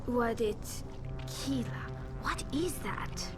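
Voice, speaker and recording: A woman voicing a young, earnest alien squadmate in a video game, expressive and questioning. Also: a little high, drawn-out words, over loud music. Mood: startled.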